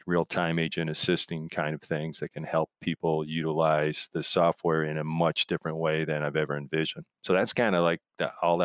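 A man's voice: extremely low pitch, a bit bright, clean tone, no room sound, clean studio sound. A man speaking as an expert guest in an interview, explaining things conversationally in a rambling way with analogies. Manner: rambling, explains through analogies and imagined quotes.

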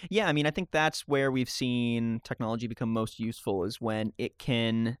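A man's voice: medium pitch, fairly even intonation, moderate pace, clear, resonant tone, no room sound, studio quality. A man hosting a podcast interview, chatting in a friendly, casual way with fillers. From raised speaking Spanish at home, mother from Colombia. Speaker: podcast host.

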